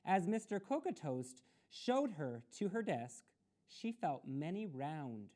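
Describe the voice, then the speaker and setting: A woman reading a children's story aloud expressively, in a deliberate manner suited to young listeners. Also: lowish voice, very animated, dark tone. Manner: deliberate, with explanatory asides to children. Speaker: storytime reader.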